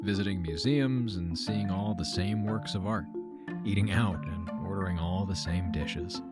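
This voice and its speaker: Guy acting as a dry wry narrator for a fiction podcast, really low voice, deadpan and wordy, music behind him. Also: normal speed, lightly acted. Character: wry, matter-of-fact man recounting his trip between simulations. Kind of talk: scripted first-person audio drama narration. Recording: music under the voice.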